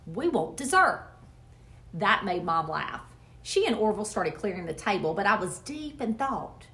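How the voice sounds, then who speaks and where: A woman reading a children's story aloud in an expressive, theatrical storytelling manner. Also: mid-range voice, moderate pace. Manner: expressive, theatrical with character voices. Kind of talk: animated dramatic read-aloud.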